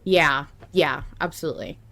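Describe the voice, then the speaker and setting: A woman co-hosting a podcast in casual fan discussion, speaking emphatically and quick to laugh. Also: low voice, creaky, fairly bright tone. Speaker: podcast co-host.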